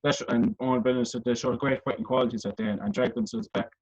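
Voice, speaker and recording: A man's voice: medium pitch, steady tone, fast, darkish tone. An Irish man hosting a sports radio discussion and putting questions to guests. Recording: dull lo-fi radio sound.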